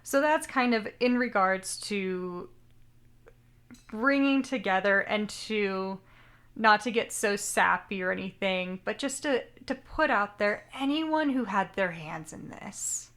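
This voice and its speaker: Bright-voiced chatty woman podcaster. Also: medium pitch, slow. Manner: chatty, rambling, apologizes for mispronouncing names. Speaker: podcast co-host. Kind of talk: casual podcast chat.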